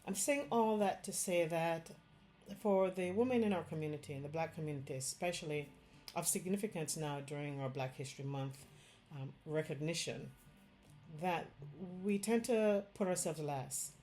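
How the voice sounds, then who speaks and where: A woman's voice: low-pitched, normal speed, mellow, faint music behind. A middle-aged woman with a Jamaican accent, a Chinese medicine doctor talking earnestly straight to her viewers, lifting her voice on key points. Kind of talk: direct-to-camera health talk.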